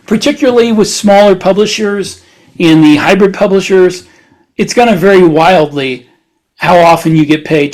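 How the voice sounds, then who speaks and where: A man co-hosting a podcast, talking casually and haltingly as he thinks aloud. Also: high voice, a little mellow, rough recording. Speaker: podcast co-host and author. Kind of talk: casual podcast discussion of publishing.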